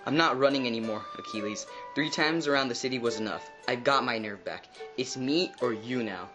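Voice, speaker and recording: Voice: medium pitch, fairly quick, over music, lightly acted. A performer playing a proud, doomed Trojan warrior prince in a mythological puppet show. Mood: defiant.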